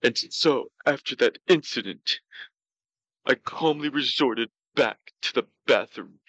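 A man voicing a pouty, self-justifying glutton who tells comic stories in a halting way. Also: medium pitch, fairly bright tone, no echo, rough recording. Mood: pouty, aggrieved. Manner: pouty, slow, halting delivery. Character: pouty, self-justifying, gluttonous male talk show storyteller. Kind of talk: comedic in-character storytelling.

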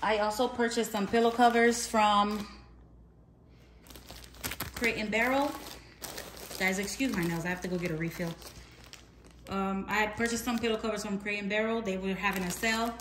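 A woman's voice: medium pitch, steady tone, moderate pace, pauses often, a bit bright, reverberant, slight background noise. A woman casually showing her home and purchases on a handheld phone, talking in a rambling, self-correcting way. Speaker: home vlogger. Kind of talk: casual clean-with-me narration. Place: large bright home, kitchen and playroom. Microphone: handheld phone camera.

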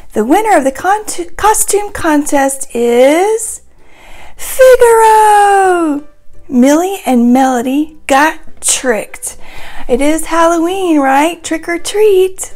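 A woman reading a children's story aloud in an animated, sing-song way, adding little asides as she goes. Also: high voice.

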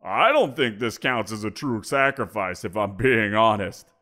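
A man voicing a greedy, teasing giant magical creature in an audio roleplay, talking in a playful, drawn-out way. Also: fairly low pitch, animated, sharp tone. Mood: coaxing.

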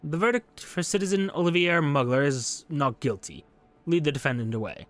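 A man's voice: a little high, expressive, moderate pace, a bit bright. A man voicing a stern revolutionary judge in a game, formal, commanding and probing. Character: stern male revolutionary judge questioning a defendant.

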